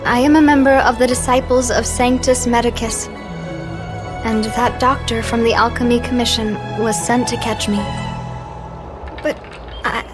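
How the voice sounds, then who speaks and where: A woman voicing a gentle, apologetic young woman in a video game, pleading and hesitant in manner. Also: on the high side, little pitch movement, normal speed, long pauses, over music, natural voice. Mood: remorseful.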